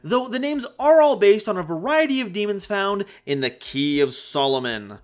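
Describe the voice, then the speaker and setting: A man's voice: high voice, normal speed, smooth. A man giving a playful, emphatic scripted explainer as a video host. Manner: animated, playful, emphatic delivery. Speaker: host of a card game analysis video. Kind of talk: scripted explainer narration with jokes. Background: background music.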